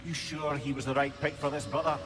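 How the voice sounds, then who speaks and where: A man's voice: medium pitch, steady tone, fast, natural voice. A man playing a witty, cheeky talking severed head in a video game, with a wry, colourful way of talking.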